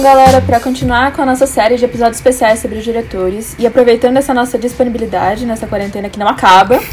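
A woman's voice: high-pitched, darkish tone. A young woman with a Brazilian accent hosting a casual podcast in an enthusiastic, slangy way. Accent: Brazilian Portuguese. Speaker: podcast host.

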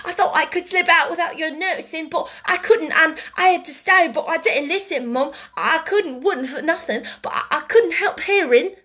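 A woman's voice: normal speed, few pauses, sharp tone. Woman doing a sobbing scullery maid. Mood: pleading. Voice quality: high, quavering and sobbing. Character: young tearful cockney scullery maid.

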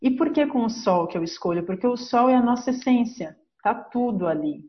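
A woman's voice: on the high side, animated, fairly quick, lo-fi sound. A woman with a Brazilian Portuguese accent, an astrologer hosting a podcast, explaining her subject step by step in a teaching manner. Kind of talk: podcast lesson.

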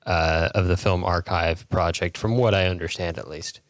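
A man's voice: deep voice, steady tone, normal speed, dry recording. A man co-hosting a podcast in casual film discussion. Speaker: podcast host.

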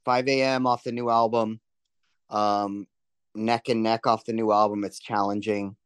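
A man's voice: lowish voice, little pitch movement, a little slow, clean tone, no echo. A man chatting casually in an interview as a touring musician, with slangy, informal phrasing. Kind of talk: casual chat.

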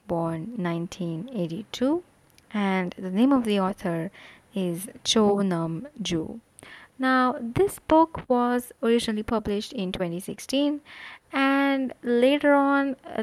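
A woman's voice: medium pitch, no echo. A woman hosting a casual solo podcast, chatting animatedly about a book with frequent fillers and asides. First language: not Korean. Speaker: podcast host.

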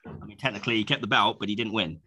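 A man's voice: fairly low pitch, very fast, sharp tone, husky. A man co-hosting a casual podcast, stuffed up with a cold, rambling with many restarts. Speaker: podcast co-host.

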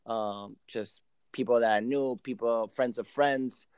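A man's voice: medium pitch, fairly even intonation, measured pace, a little mellow, clear, resonant tone, no echo. A man in his late twenties from Argentina, a native Spanish speaker, telling his story casually as a guest in an interview. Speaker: professional soccer player, podcast guest.

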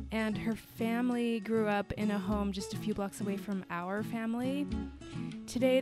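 Woman host, mid-pitched voice, clear guitar music underneath, scripted intro, teasing casual questions. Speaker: podcast host.

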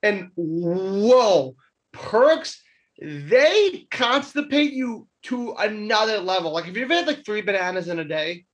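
A man's voice: high voice, animated, slow pace. A young man co-hosting a podcast, rambling and stammering through casual comedic chat with frequent laughs. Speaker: podcast co-host.

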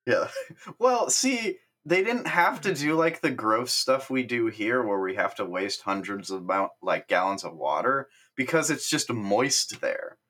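A man's voice: mid-range voice, expressive, measured pace, bright, forceful tone. A man co-hosting a podcast in casual chat, self-mocking with absurd jokes and frequent laughter. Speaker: podcast co-host.

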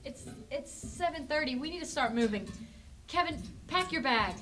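A woman's voice: high-pitched, expressive, normal speed, a bit bright, a little hiss or hum. A young woman acting as an earnest, anxious younger sister in an amateur zombie apocalypse radio drama. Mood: matter-of-fact. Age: teenager or young adult. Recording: distant microphone, faint music.

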